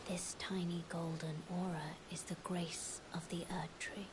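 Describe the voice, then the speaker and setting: An actress playing a solemn, soft-spoken mystical guide in a video game, speaking in a hushed, measured way. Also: female voice, on the low side, flat delivery, natural voice. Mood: solemn, serene. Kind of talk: video game character dialogue. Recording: quiet, distant game audio with hiss.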